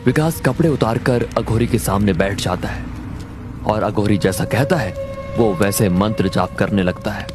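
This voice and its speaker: A man's voice: lowish voice, normal speed. A man narrating a scripted horror story as a storyteller. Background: loud background music.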